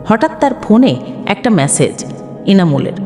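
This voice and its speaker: A woman's voice: mid-range voice, big pitch swings, normal speed, mellow. Female storyteller for emotional scripted narration; very reverberant sound over a music bed. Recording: long reverb, music underneath. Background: background music.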